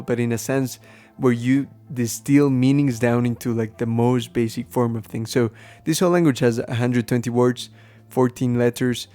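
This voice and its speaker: A man whose first language is Spanish, explaining things casually and haltingly as a podcast host, thinking aloud as he goes. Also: medium pitch, mellow. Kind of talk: casual unscripted explaining to listeners.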